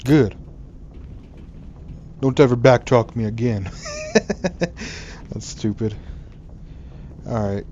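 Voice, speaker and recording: A man's voice: mid-range voice, big pitch swings, extremely slow, pauses often, dark tone, slight background noise. A man giving casual, offhand let's play commentary on a video game. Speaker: gamer commentating his playthrough. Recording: muffled lo-fi mic, faint game music.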